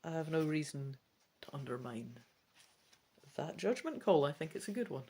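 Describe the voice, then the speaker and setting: Animated woman playing through a gamebook. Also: low-pitched, moderate pace, husky. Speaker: gamebook player and reader. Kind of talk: dramatic storybook reading with casual commentary.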